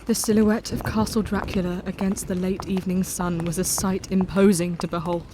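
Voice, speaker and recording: A woman's voice: on the low side, level tone, moderate pace, noisy background, close to an everyday voice. A woman playing an earnest young diarist. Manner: earnest, formal storytelling. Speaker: narrator. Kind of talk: audio drama narration.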